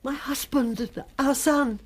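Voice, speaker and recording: An actress playing a desperate, dignified aristocratic wife and mother in a radio drama, formal and earnestly pleading in a husky voice. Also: high-pitched, fairly even intonation, unhurried. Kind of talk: British radio drama acting.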